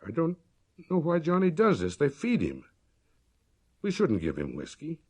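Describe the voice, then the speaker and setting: A man's voice: fairly high pitch, wildly swooping pitch, normal speed, a little mellow, breathy edge, voice pushed up, heavily acted. A man voicing an earnest, troubled, plain-spoken local farmer in a story reading. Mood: agitated, earnest.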